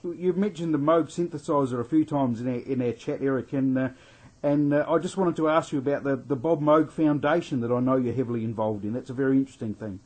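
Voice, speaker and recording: Radio host guy, a bit husky, keeps repeating words, mid pitch. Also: fast talker, a little mellow, low-quality recording.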